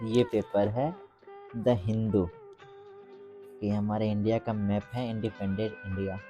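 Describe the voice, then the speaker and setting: A man talks in a casual vlogging style. His voice is mellow and relaxed, with faint music behind it. He is informal, and he slows and halts when he reads English aloud. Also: lowish voice. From Bihar, India. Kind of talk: casual vlog commentary.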